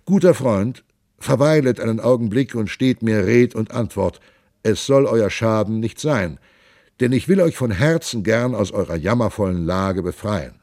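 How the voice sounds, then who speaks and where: A man's voice: fairly low pitch, moderate pace, a little mellow, no room sound, studio quality, close to an everyday voice. A man doing a hearty noble giant prince. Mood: hearty, commanding. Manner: stately old-fashioned speech, emphatic. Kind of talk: audiobook reading.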